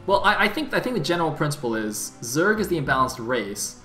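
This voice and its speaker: A man's voice: fairly high pitch, very animated, fast talker, sharp tone. A man giving analytical, easygoing play-by-play commentary on an esports match, with the odd joke. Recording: echoey room with music.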